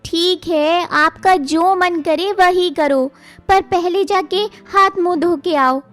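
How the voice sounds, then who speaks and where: A woman voicing a devoted young wife who speaks softly and caringly. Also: very high-pitched, slow, faint music behind, heavily acted. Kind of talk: cartoon voice acting.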